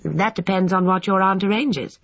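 Brisk, higher-pitched woman cook, acted by a narrator. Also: lightly acted. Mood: brisk, practical. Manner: brisk, direct instructions. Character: brisk, capable young woman cook, in command. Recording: dull, roomy, echoing sound.

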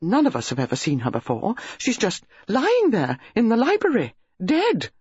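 A reader voicing Mrs Bantry, a breathless, excitable English country lady who gushes about a body found in her library. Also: mid-range voice, moderate pace, slightly rough, no echo, highs cut off, pitched above the performer's own voice, full character voice. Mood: excited. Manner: gushing, breathless.

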